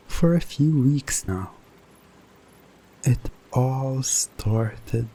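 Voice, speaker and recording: A man narrates a second-person hypnosis story in a fairly deep voice. His delivery is extremely slow and deliberate, broken into short suspenseful phrases. Steady ambient noise sits behind the voice. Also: poor audio.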